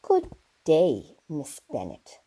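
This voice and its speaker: A woman playing a haughty older aristocratic lady in a radio play, speaking formally and commandingly in a husky voice. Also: sits low, wildly swooping pitch, takes it slowly, noisy background, exaggerated voice. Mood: indignant, imperious. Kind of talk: radio theater acting.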